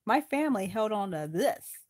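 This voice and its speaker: A woman's voice: mid-range voice, big pitch swings, moderate pace, darkish tone, no room sound, studio quality. Earnest lake town guy, lady voicing him, pretty much her own voice.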